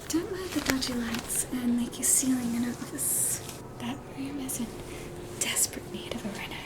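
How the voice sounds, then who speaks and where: An Australian woman whispers in a high, delicate register. She plays a polite receptionist nurse at a horror hospital, speaking slowly and soothingly. It is a scripted ASMR roleplay with a reassuring manner. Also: rough recording. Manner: softly whispered, slow, reassuring.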